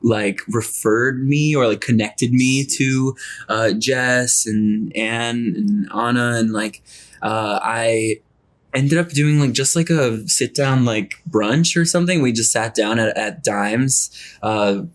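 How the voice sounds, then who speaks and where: A man's voice: medium pitch, slow pace. A man in his twenties from Brazil, a model and actor chatting as an interview guest, rambling with many "like"s. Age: 20s. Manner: rambling, heavy use of "like". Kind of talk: casual interview chat.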